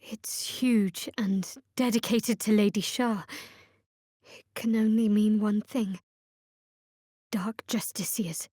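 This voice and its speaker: A woman playing a guarded, sardonic young cleric of a dark goddess in a fantasy video game, speaking in a dry, measured, wry way. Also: mid-range voice, steady tone, no room sound. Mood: composed, dry.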